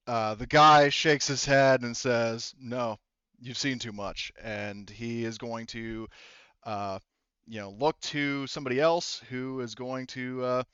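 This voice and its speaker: A man's voice: medium pitch, a bit bright, clear, resonant tone, no room sound, lo-fi sound. A man running a tabletop roleplaying game as game master, narrating in a rambling, casual, improvised way. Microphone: close headset.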